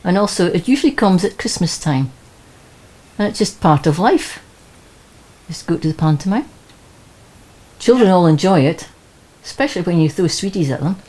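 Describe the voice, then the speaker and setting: A plain-spoken woman with a husky voice, answering an interview casually in short phrases. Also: lowish voice, expressive, moderate pace, pauses often, warm tone, poor audio.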